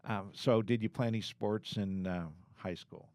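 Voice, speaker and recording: An older man hosting an interview podcast, speaking in a measured, formal way. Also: lowish voice, fairly even intonation, a little mellow, dry recording, clean studio sound. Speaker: podcast host, law firm chair.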